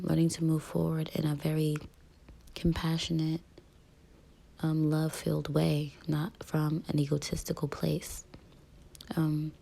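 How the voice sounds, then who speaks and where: A woman giving a casual daily tarot reading in a raspy, soft, half-whispered voice, with many ums, sounding a little rough from allergies. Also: low voice. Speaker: tarot reader.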